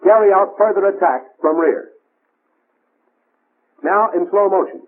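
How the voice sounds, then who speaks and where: A man's voice: high-pitched, unhurried. A man narrating a 1940s military training film in scripted, clipped, imperative instructions. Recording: tinny band-limited 1940s film soundtrack.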